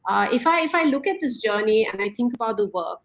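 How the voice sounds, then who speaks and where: A woman's voice: on the high side, expressive, fast talker. Direct woman panelist. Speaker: panelist, advocate. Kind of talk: panel discussion.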